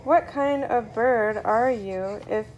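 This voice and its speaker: A woman's voice: on the high side, slow pace, a little mellow, highs cut off. A woman voicing a small, chirpy little bird that likes to argue, in a children's story on a noisy home recording. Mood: teasing. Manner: chirping, teasing.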